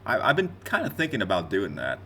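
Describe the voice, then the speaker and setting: A man in his twenties with a deep, low-pitched voice. He rambles conversationally, speaking straight to his viewers like a streamer looking back on his year. Faint noise sits behind the voice. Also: huge pitch swings, brisk, bright, forceful tone. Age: 20s. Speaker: gaming content creator and streamer. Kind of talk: casual unscripted year-in-review vlog talk.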